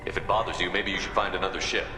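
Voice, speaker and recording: Man as a deep, brisk military captain. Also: flat delivery, natural voice. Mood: probing, firm. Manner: brisk, direct questioning. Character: direct, commanding human male military captain. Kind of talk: video game dialogue. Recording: long hall reverb, loud music behind.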